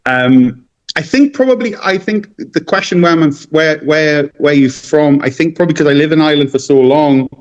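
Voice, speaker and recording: A man's voice: a little high, normal speed, muffled recording. A man with a North-East English accent telling stories casually as an interview guest, rambling and often repeating his words. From North-East England. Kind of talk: casual conversational storytelling.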